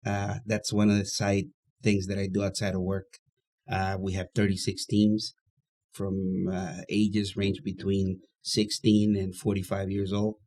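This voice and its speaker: A man, a guest in an interview, explaining his side activities in a steady, matter-of-fact way. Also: low voice, unhurried. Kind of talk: casual interview chat.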